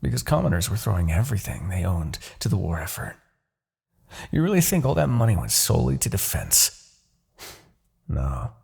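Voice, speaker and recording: A man playing a smug, seductive, manipulative demon king in a fantasy audio roleplay, with a gravelly, husky voice and a taunting, theatrical, condescending delivery. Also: sits low, big pitch swings, normal speed, pauses often, darkish tone, heavily acted. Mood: scornful, persuasive. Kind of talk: fantasy ASMR roleplay acting.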